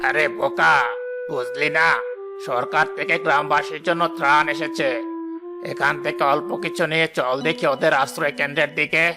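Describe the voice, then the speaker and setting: A man's voice: a little high, steady tone, normal speed, lightly acted. Man acting a scheming, bossy village headman. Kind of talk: cartoon voice acting. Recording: music behind the voice. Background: background music.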